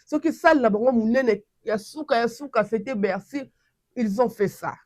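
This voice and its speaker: A woman from Congo talks to her audience in a Congolese French accent, lively and full of restarts. Her voice sits at an ordinary middle pitch. The sound is dry and close, with no room around it. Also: no echo. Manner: animated, many restarts, mixes French and Lingala. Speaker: channel host and commentator. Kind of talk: casual commentary to camera.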